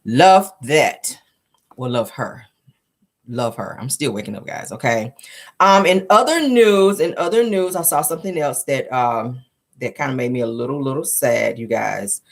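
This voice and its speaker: Low voiced woman doing casual gossip news commentary, slangy, chatting right to her viewers. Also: animated, normal speed. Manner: informal, slangy, addressing viewers as guys and honey. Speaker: host of a celebrity gossip news show.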